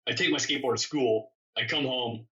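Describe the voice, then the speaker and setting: A man chats casually in a bright, forceful voice, often doubling back on his words. He sounds a little far from the microphone, and the recording is somewhat dull. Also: mid-range voice, a little fast. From born in England, grew up in Canada. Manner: rambling, self-correcting, self-deprecating. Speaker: interview guest, custom motorcycle builder. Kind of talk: casual conversational storytelling.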